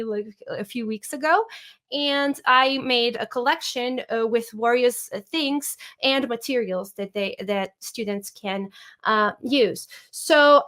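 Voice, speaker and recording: Woman teacher, hesitant webinar presenter on a video call, slightly high voice. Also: bright, forceful tone. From Serbia. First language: Serbian. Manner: hesitant, many filler sounds. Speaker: music teacher.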